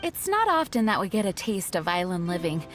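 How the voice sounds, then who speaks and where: A woman playing a responsible, kindly young female leader in an anime-style video game, measured and formal. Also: lowish voice, sing-song, fairly bright tone. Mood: composed, considerate.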